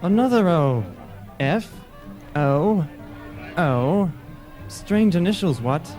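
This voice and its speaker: Radio drama role: affected, solicitous gentleman count played by a man, animated and rather high, music behind. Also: slow pace. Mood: intrigued. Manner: affected, upper-crust, polite exclamations. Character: genteel, courtly male count, solicitous party guest. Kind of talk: old-time radio play acting.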